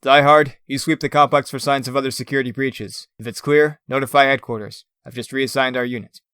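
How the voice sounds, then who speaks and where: Man acting a forceful superhero squad leader. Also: medium pitch, steady tone, fairly quick, clean tone, no echo, studio quality, lightly acted. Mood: stern, urgent. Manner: barking orders, clipped. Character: commanding male superhero team leader, brisk, no-nonsense. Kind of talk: comic book dub acting.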